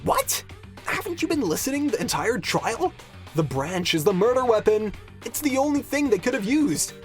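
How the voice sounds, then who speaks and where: A woman's voice: a little high, very animated, moderate pace, faint music behind. A woman playing a hot-headed, loyal young student in an audio drama, blunt, exasperated and pleading. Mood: incredulous.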